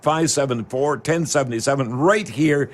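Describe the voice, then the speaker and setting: A man's voice: mid-range voice, normal speed. Folksy Canadian man hosting local radio. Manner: rambling, folksy, addresses listeners directly as folks. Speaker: radio show host. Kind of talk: live local radio talk with folksy promotional patter.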